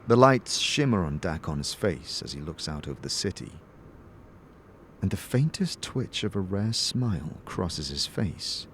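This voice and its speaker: A man narrating a scripted fantasy audio drama in a measured, dramatic way. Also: sits low, sing-song, a bit bright, slight background noise.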